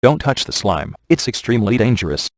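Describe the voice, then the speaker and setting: An actor playing a sardonic, laid-back male ghost hunter in a video game, delivering wry scripted quips in a casual deadpan manner. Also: medium pitch, brisk, no echo, clean studio sound. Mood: wry, wary. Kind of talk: scripted game dialogue, dry wisecracks.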